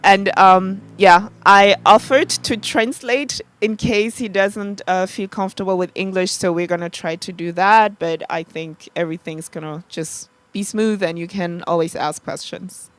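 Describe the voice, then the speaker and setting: A woman's voice: medium pitch, fairly even intonation, measured pace, hardly any pauses. A Congolese-born woman in her thirties speaking on a panel, hesitant and heartfelt, with many fillers. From Democratic Republic of the Congo. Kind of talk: live panel talk, casual.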